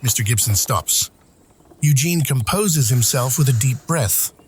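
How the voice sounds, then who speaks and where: A man's voice: mid-range voice, expressive, measured pace, husky. A man narrating scripted stage action as the narrator of an audio drama.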